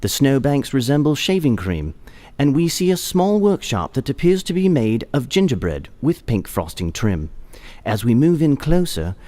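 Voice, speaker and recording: A man's voice: mid-range voice, sing-song, moderate pace, mellow, dry recording, natural voice. A man narrating a scripted audio play in a descriptive storytelling manner.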